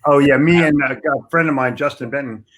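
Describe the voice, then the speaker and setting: A man's voice: mid-range voice, brisk, a bit bright. An American man chatting casually as a guest, with a blunt, joking, teasing manner. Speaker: interview guest. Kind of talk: casual chat.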